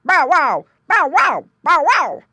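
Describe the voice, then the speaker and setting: A woman voicing a big, kind-hearted farm dog that barks loud commands. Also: high voice, sing-song, measured pace, no room sound, studio quality, pitched above the performer's own voice, exaggerated voice. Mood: loud barking.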